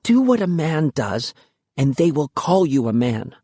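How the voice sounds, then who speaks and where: A narrator voicing a spirited young village girl who teases and insists, speaking in a raised, lively voice. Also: mid-range voice, unhurried, husky, no room sound. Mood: insistent. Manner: playful, insistent.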